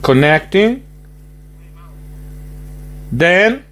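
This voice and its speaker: A man's voice: sits high, big pitch swings, extremely slow, fairly bright tone, slight background noise. An Italian man with an Italian accent hosting a casual interview, speaking hesitantly with many fillers. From Italy. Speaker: podcast host.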